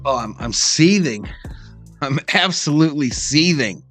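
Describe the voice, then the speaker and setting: A man's voice: a little high, lively intonation, unhurried, fairly bright tone, faint music behind. A man hosting a sports live stream, giving casual fan play-by-play with sarcastic, sweary reactions.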